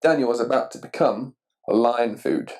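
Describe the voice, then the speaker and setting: A man reading a children's picture book aloud expressively and deliberately, putting questions to the listener. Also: medium pitch, a little mellow. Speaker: story reader.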